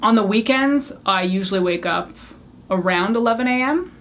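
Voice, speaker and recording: A woman's voice: mid-range voice, normal speed, slight background noise. A young woman whose first language was French, chatting candidly to the camera as she answers a tag of questions. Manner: chatty, candid, self-deprecating. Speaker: beauty YouTuber. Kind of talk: casual Q&A tag video.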